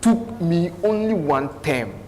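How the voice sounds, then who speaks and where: A man's voice: a little high, expressive, takes it slowly. A man speaking earnestly as a talk show guest, telling his life story with restarts. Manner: earnest, with restarts and thanks to God's grace. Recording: reverberant studio, faint background noise.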